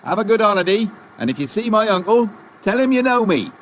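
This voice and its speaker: An actor playing a chatty, folksy railway porter with a Cornish accent in an audiobook drama. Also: male voice, sits high, big pitch swings, brisk, a bit bright, slight background noise. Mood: frank, folksy. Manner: colloquial, folksy, emphatic.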